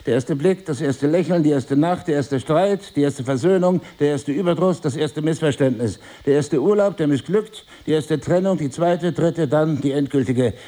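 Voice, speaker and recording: An actor playing a middle-aged husband, wounded yet devoted, who argues in articulate, deliberate phrases in a stage-play dialogue. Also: male voice, a little high, warm tone, close to an everyday voice. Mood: impassioned, lecturing. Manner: articulate, deliberate, lecturing, ironic. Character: reproachful yet devoted husband, wounded, philosophizing crime writer.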